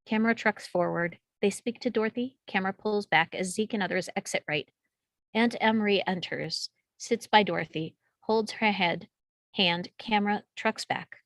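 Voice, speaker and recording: A woman's voice: medium pitch, moderate pace, dry recording. A woman reading out screenplay stage directions as scripted narration.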